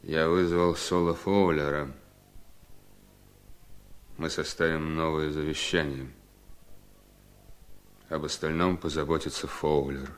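An actor playing an ailing, gentle but determined wealthy gentleman in a radio play. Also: very deep voice, flat delivery, takes it slowly, long pauses. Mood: quiet, resolute. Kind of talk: radio play acting in Russian. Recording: 1970s studio radio drama.

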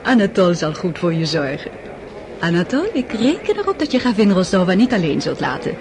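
An actress playing a worldly, scheming society countess with a honeyed, flattering manner. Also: female voice, fairly low pitch, a little fast, a crowd in the background. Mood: coaxing. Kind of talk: radio play acting.

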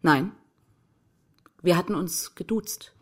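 An actress in a radio crime drama playing a combative young left-wing activist, curt, defiant and accusatory. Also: female voice, fairly low pitch, takes it slowly, breathy edge. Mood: cool, accusing. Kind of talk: German crime radio play dialogue.

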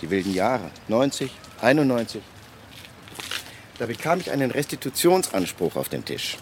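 An actor playing an excitable lawyer in a radio drama, speaking emphatically with dramatic pauses. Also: male voice, mid-range voice, slight background noise, lightly acted. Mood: confiding, emphatic. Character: excitable male lawyer, indignant, relishing his personal tale.

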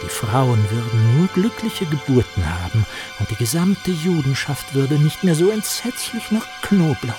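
A man acting a gossipy, insinuating radio-play storyteller. His fairly high voice swings widely as he draws out lurid phrases theatrically, with music clearly underneath. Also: expressive, moderate pace, few pauses, lightly acted. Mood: lurid relish. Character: gossiping male storyteller recounting lurid hate legend with relish.

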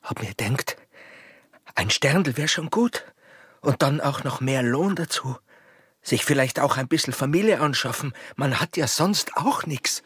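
An actor playing a proud, bitter and smitten border guard in a radio drama, speaking Austrian rural dialect with a hoarse, breathy voice and intense manner. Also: male voice, mid-range voice, animated, measured pace, fairly bright tone, no echo, studio quality. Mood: bitter anger.

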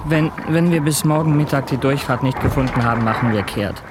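Loud wind and sea noise surround a stubborn captain played by a man in a radio play. His mid-pitched is terse and resolute, the voice of someone driven onward. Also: brisk, lightly acted. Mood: reluctant, weary. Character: stubborn, driven ship's captain in polar ice. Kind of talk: German radio drama acting.

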